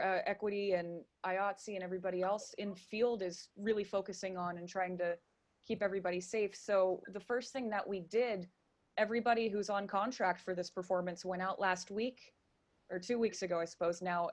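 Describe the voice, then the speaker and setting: A woman speaking as a guest in a radio interview, chatty and enthusiastic as she explains things. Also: medium pitch. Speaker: interview guest, theater stage manager and director.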